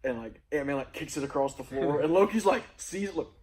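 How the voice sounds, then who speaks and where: A man's voice: on the high side, brisk, a crowd in the background. A man co-hosting a movie review, chatting informally and agreeably as a fan and acting out quoted lines. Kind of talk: casual fan chat about a film.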